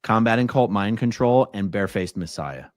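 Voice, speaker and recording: A man interviewing guests in relaxed, agreeable conversation. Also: fairly low pitch, moderate pace.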